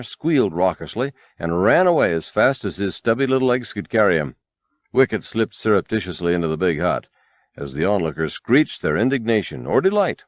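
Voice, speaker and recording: Male narrator for adventure fiction: lowish pitch, animated range, measured dramatic delivery, dry sound. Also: no echo. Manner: expressive, measured storytelling. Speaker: audiobook narrator. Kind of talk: scripted dramatic narration of a novel.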